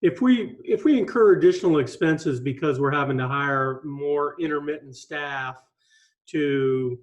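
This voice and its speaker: A man's voice: fairly high pitch, highs cut off. A man speaking informally as a county official on a conference call. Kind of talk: informal meeting question.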